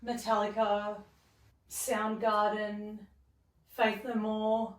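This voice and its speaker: A woman from Melbourne, an artist guest answering interview questions in a candid, relaxed way. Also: mid-range voice, extremely slow. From Melbourne, Australia. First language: Serbian and English, bilingual from childhood. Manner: candid, relaxed, casually swearing. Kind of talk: casual interview chat. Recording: roomy, distant from the mic.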